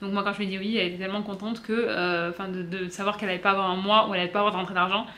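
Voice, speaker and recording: A woman from south-east France with a French accent, giving casual vlog advice in a chatty, halting way with frequent self-corrections. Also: mid-range voice, level tone, sharp tone, a little hiss or hum. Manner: chatty, hesitant, self-correcting with many pauses. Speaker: vlogger. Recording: roomy echo.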